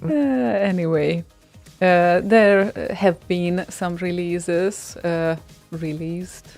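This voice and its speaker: A woman's voice: lowish voice, flat delivery, slow, a little mellow, faint music behind. A woman hosting a radio show, chatting casually in a rambling way with many fillers and a dry, teasing humor. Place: radio studio.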